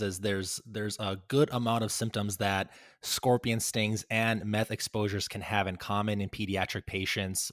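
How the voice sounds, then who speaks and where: A man's voice: on the low side, fairly bright tone, no echo, studio quality. A man hosting a conversational medical interview, summing up and asking questions. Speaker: podcast host.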